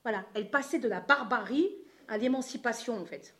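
Higher-voiced woman, emphatic public speaker. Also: a little fast, a bit bright, a little hiss or hum. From Algerian descent, living in France. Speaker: panel speaker at a feminist festival. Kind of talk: impassioned spontaneous public discussion.

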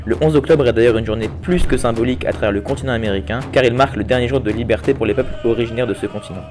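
A teenage boy, a student presenter, reading a script quickly and evenly. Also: mid-range voice, music in the background.

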